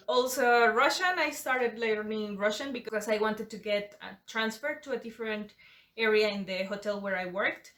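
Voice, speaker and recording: Bright upbeat Spanish-native woman host. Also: mid-range voice. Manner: upbeat, laughs often between lines. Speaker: YouTube channel host. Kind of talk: casual drinking-game chat. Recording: echoey room.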